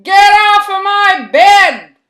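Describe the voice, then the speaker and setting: Woman doing a squeaky little boy mouse. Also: slow pace, reverberant. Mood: yelling.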